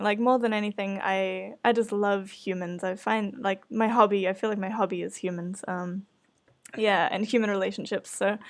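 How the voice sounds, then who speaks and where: A woman podcast host chatting casually in an earnest, hesitant, self-conscious way. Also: medium pitch. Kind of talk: casual chat.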